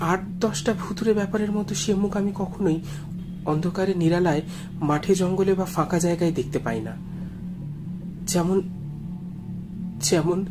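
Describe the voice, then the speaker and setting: A man narrates a first-person story in a measured, suspenseful and expressive way. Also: high-pitched. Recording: music behind the voice. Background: music.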